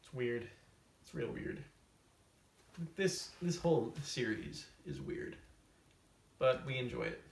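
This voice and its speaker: Man, medium pitch, roomy distant sound, casual mock-storytime host, deadpan tongue-in-cheek delivery. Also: takes it slowly, vocal fry, long pauses. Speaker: host of a comedic book summary video. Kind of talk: mock storytime skit to camera, casual book summaries. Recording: roomy echo, off-mic, faint hiss.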